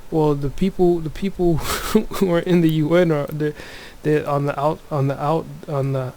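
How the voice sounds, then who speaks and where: A man with a Toronto accent, talking low and soft in a room at home while he reads and comments on news for a religious video. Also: steady tone, moderate pace. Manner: speaks softly, many restarts and fillers. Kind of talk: casual religious commentary, reading news aloud.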